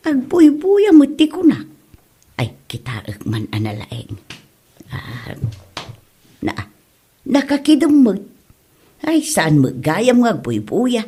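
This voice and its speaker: A woman plays a querulous elderly parent in a comedy drama. The voice is husky and rough, rising and falling expressively through the grumbling. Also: on the high side, huge pitch swings, takes it slowly, long pauses, warm tone, full character voice.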